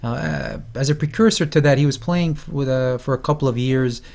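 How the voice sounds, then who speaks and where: A man hosting a folk music program, introducing a guest in a loose, halting way with many fillers and false starts. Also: mid-range voice, gravelly, a little mellow. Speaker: host. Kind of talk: casual spoken introduction.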